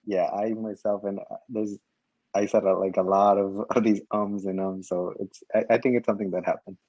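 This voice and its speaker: A young man from Mexico co-hosting a podcast chat, halting and full of fillers. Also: lowish voice, level tone, warm tone, no room sound, lo-fi sound. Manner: halting, laughing, filler-heavy. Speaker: podcast co-host. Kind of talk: casual chat.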